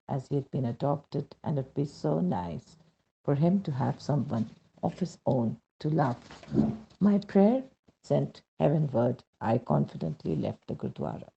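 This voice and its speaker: Husky, gravelly narrator with a dark tone. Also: mid-range voice, highs cut off. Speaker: reader of a devotee's testimony. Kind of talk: scripted narration of a devotional testimony.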